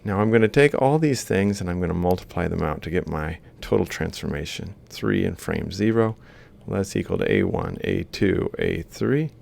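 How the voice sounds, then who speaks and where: Deep, gravelly man giving a lecture. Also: expressive, moderate pace, hardly any pauses, darkish tone. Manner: explains step by step while writing. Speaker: lecturer in robotics. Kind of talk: informal worked-example lecture.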